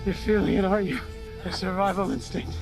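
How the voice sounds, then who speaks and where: An actor playing a desperate, treacherous astronaut scientist clinging to survival in a science fiction film. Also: male voice, high-pitched, steady tone, a little fast, faint music behind. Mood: strained, confessional.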